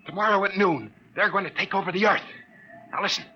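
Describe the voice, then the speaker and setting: A man's voice: high-pitched, fast, highs cut off, natural voice. An actor playing a desperate, slangy American man in a 1950s radio drama, urgent in manner, on a noisy old recording. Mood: urgent pleading. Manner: urgent, slangy tough talk. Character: desperate wisecracking American man, alarmed and disbelieved.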